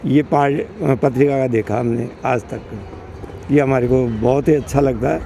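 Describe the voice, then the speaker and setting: An older man in his sixties gives earnest, rambling answers in a street interview. His voice is mellow and relaxed, with faint city noise around him outdoors. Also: mid-range voice, a little slow, creaky. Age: 60s. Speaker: interviewee, longtime reader.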